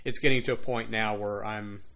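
A man's voice: on the low side, fairly even intonation, a little fast, vocal fry, fairly bright tone. A man in his sixties from Louisiana, a retired police officer and author, telling long rambling anecdotes in a casual interview, with frequent "you know" asides. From Louisiana, USA. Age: 60s.

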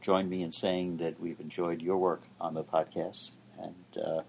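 Deep husky-voiced older law professor. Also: male voice, little pitch movement, darkish tone, highs cut off. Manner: dry, measured. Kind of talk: explaining legal news.

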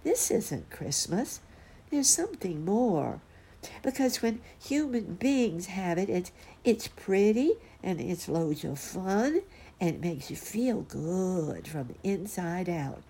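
A woman voicing an excitable, determined young male bear cub in a children's story, eager and pleading. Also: fairly low pitch, takes it slowly, husky, voice pushed up, exaggerated voice. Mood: disappointed, insistent.

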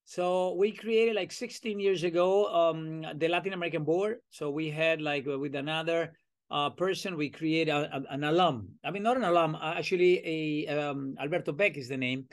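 An older Venezuelan man speaks as an interview guest, with a voice that sits a bit high for a man. He tells his story in an animated, chatty way, slipping into the voices of people he remembers and filling pauses with "uh" and "um.". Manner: animated, acts out remembered dialogue, many fillers. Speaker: professor and podcast guest.

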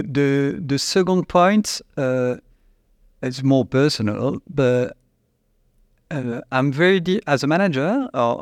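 A man with a French accent, a company CEO, answering interview questions in a halting, searching way with frequent restarts. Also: mid-range voice, a little mellow, no echo. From France. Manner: halting, restarts often, searches for English words.

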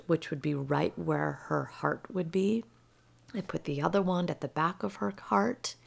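A woman gently telling a personal story about healing a rescued dog, her voice soft and often dropping to a near whisper. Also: low-pitched, gravelly. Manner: gentle and slow-paced. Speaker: animal healer.

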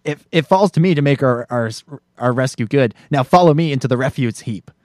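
A man reading the part of a haughty, sharp-tongued young princess in old-fashioned verse, in a raised voice. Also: medium pitch, a little fast, dry recording, clean studio sound, close to an everyday voice. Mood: scolding.

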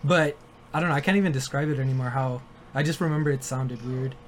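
A man whose first language is Spanish, co-hosting a podcast in casual chat, relaxed and full of "like" fillers. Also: mid-range voice, quick pace, crowd noise. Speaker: podcast co-host.

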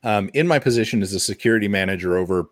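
A man's voice: fairly low pitch, very fast, dry recording, clean studio sound. A middle-aged man chatting casually as a podcast co-host, earnest and opinionated.

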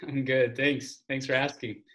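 A man's voice: mid-range voice, little pitch movement, measured pace, a bit bright. A man hosting an interview podcast, chatty and enthusiastic, with many fillers and restarts. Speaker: podcast host. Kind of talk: interview podcast intro and chat.

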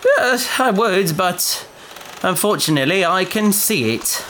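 An actor playing a wry companion who answers with deadpan understatement. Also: medium pitch, unhurried, a little hiss or hum. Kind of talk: audio drama acting. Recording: loud music score under voice.

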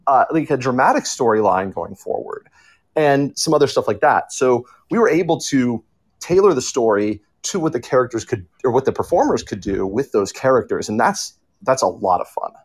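Husky-voiced man chatting on a panel. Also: medium pitch, expressive, normal speed. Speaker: audio drama writer and panelist. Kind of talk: casual panel discussion.

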